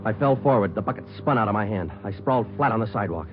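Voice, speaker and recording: An American actor playing a terse, steady police detective in a 1940s radio crime drama, speaking in clipped, matter-of-fact phrases. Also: male voice, rapid-fire, close to an everyday voice. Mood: tense narration. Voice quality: low-pitched. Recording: dull old 1940s radio recording, music behind.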